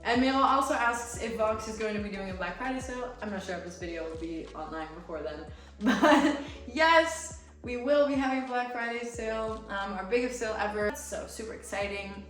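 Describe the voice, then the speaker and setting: A woman's voice: a little high, a little fast, fairly bright tone. A young American woman, raised speaking Romanian, chatting and laughing through fan questions in a vlog. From United States. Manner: bubbly, chatty, laughs often. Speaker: fitness creator. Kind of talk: casual Q&A vlog. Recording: echoey room with music from a distance.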